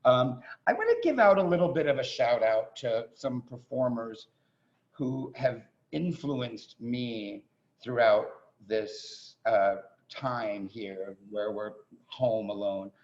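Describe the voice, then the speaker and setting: Guy doing a casual host intro, fry in his voice, stumbles and repeats words, dull zoom-type audio. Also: medium pitch, lively intonation. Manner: halting, repeats words and phrases. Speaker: host introducing a theatre show. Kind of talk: informal welcome speech with thanks and shout-outs. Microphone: video call. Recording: muffled video call audio.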